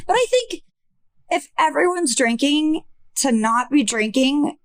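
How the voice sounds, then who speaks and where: A middle-aged woman hosting a podcast, with a high voice, talking candidly and chattily and swearing freely. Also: lively intonation, measured pace. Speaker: podcast host. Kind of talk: casual chat.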